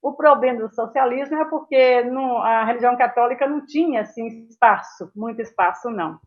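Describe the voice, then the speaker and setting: High-voiced elderly woman, muffled recording. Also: brisk. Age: 70s. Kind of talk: reminiscing in a casual interview. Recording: dull, muffled lo-fi sound.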